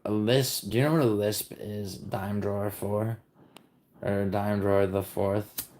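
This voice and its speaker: A man with a very deep, low voice talks loosely on a live stream, answering his viewers as their messages come in. He rambles, swears freely and keeps restarting his sentences with "like" and "I mean". He was born in Italy and raised in New York. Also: fairly even intonation, a little slow, darkish tone. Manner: rambling and profane, with many "like" and "I mean" fillers and restarts. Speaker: livestreamer chatting with viewers.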